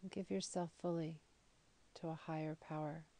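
Woman doing a yoga nidra meditation, mellow creaky voice, soothing and deliberate, soft trailing endings. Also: sits low, level tone. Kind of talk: guided meditation.

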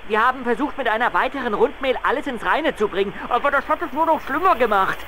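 An excitable teenage sidekick talks on a noisy phone call in a detective game. His exasperated voice swings widely in pitch. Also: quick pace. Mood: agitated. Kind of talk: German adventure game voice acting.

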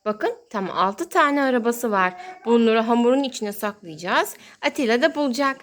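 A woman's voice: fairly high pitch, expressive, normal speed. A woman hosting toy play for young children as a mother, talking in a sing-song, exclaiming way and drawing out her words.

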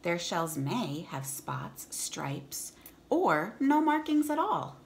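Slow, lilting woman reading to children. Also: on the low side, very animated, slight background noise. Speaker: teacher reading aloud. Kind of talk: children's picture-book read-aloud with short comments. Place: room in a home.

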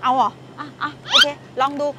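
A woman's voice: high voice, fairly quick. Chatty woman vlogging over background music. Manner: chatty, addresses viewers directly, excitable. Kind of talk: casual grocery vlog and food tasting. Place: supermarket aisles and parked car. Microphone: handheld phone camera at arm's length. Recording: phone video with music underneath.

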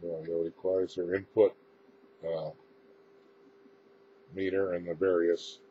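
A man giving a casual, unscripted equipment demonstration as presenter, explaining in a halting, matter-of-fact way, recorded indoors on a camera microphone. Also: deep voice, flat delivery. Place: indoors, small room with radio gear. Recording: dull lo-fi camera audio, clear background noise.

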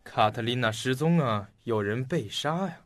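A man's voice: mid-range voice, lively intonation, moderate pace, dry recording, clean studio sound, natural voice. A man acting in an audio drama as an impatient, skeptical detective, with a blunt, probing, theatrical delivery. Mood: composed, probing. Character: impatient, skeptical male detective questioning a colleague. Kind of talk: Chinese audio drama acting, interrogative dialogue. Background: faint background music.

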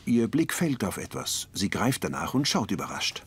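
A man narrates a TV series as an audio describer. His voice sits fairly low and moves expressively in pitch while reading scripted scene descriptions. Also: big pitch swings, normal speed, breathy edge.

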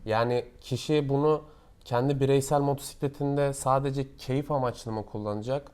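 A man's voice: medium pitch, steady tone, normal speed, a little mellow, clean tone. A man explains products casually in an interview as a knowledgeable seller, going step by step with examples.

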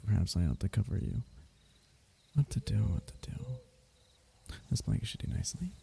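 A man voicing a gentle, loving royal diviner, telling a bedtime myth as ASMR in a tender, archaic, unhurried, husky way. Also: deep voice, big pitch swings, full character voice. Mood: soothing, loving. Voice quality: husky, gravelly. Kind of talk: ASMR bedtime story roleplay.

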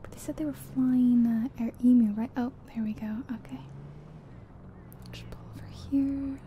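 A soft-spoken woman doing an ASMR roleplay as a friendly, chatty taxi driver, in a gentle, whispery delivery. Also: a little high, fairly even intonation, moderate pace, narrow, tinny audio. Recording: faint background noise of game car sounds. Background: car sounds from a driving game.

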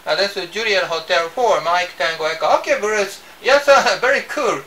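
An older man with a Japanese accent operates an amateur radio in halting English, often repeating himself. His voice is bright and forceful, picked up at some distance with faint noise around it. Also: sits high. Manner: halting, repeats words, spells in phonetic alphabet. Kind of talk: ham radio contact. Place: small indoor radio desk.

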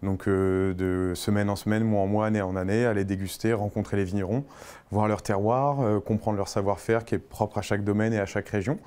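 Low, mellow-voiced man from Burgundy. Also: steady tone, brisk, smooth. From Burgundy, France. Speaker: restaurateur and wine merchant interviewed. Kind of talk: informal interview answers. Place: indoors in a restaurant and wine shop.

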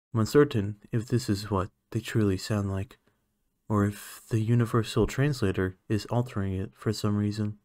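A man playing an aloof, condescending alien scientist in an ASMR roleplay, speaking in a clinical, measured, haughty manner. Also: on the low side, a little mellow, no room sound, clean studio sound. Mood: clinical, musing. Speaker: voice actor.

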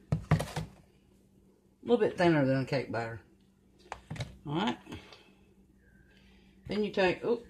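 Slow, homey older woman cooking. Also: lowish voice. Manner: homey, plain-spoken, unhurried instructions. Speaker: home cook. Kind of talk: step-by-step cooking tutorial. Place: home kitchen, indoors. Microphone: camera at the counter, off-screen speaker. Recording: noisy home recording. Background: mixer and kitchen clatter.

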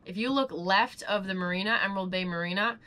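A woman's voice: mid-range voice, quick pace, pushed and bright. A young woman sitting in a boat cabin, casually explaining boat work step by step. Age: young adult. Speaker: sailing vlogger.

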